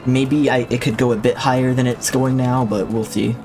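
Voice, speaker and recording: A man's voice: mid-range voice, fairly even intonation, normal speed, over music. A man streaming a video game who chats with his viewers in a rambling, reflective way, often restarting his sentences, on a streaming mic with a limiter. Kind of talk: casual chat while livestreaming a game.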